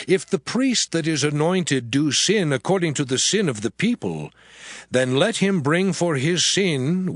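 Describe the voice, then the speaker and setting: A man giving a solemn, declamatory dramatized reading of scripture as an audio Bible narrator. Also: mid-range voice. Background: music under the voice.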